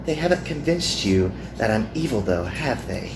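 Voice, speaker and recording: A man's voice: on the low side, big pitch swings, normal speed. Guy doing a flirty bossy anime magical woman, drawls her taunts, condescending, echoey with some noise behind. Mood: probing. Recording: roomy echo, some background noise.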